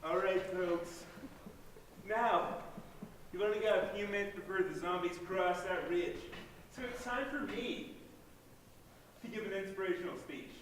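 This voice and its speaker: Actor as hapless young man leader, earnest speechifying, stage comedy, high voice, big echoing hall. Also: measured pace, pauses often. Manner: mock-inspirational speechifying. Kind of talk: comedic stage play acting. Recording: echoey hall, distant mic, faint noise.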